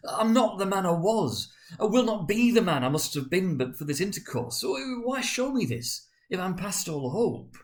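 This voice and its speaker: A man's voice: high-pitched, big pitch swings, pitched above the performer's own voice. A man voicing a frightened, repentant old miser who stammers and pleads in a dramatic storybook reading. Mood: desperate, pleading. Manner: stammering, halting, urgent.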